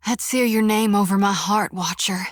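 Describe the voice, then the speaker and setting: Woman doing a folksy young priestess, drawl, mid pitch, dry close mic. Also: normal speed, no echo, close to an everyday voice. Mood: intimate. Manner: folksy drawl, intimate and earnest. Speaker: game companion character. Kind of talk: video game voice acting.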